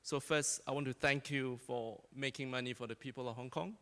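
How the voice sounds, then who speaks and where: A man's voice: mid-range voice, steady tone, fairly quick, a bit bright, in a large hall. A man moderating an on-stage panel interview at a conference, reading introductions and adding jokes. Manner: joking, scripted introductions. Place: conference hall.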